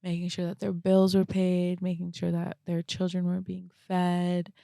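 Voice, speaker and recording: A young woman talking reflectively and casually as a podcast guest, halting often and peppering her speech with "like". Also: fairly low pitch, completely flat delivery, gravelly, mellow, no room sound, clean studio sound. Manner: halting, frequent "like" as verbal filler.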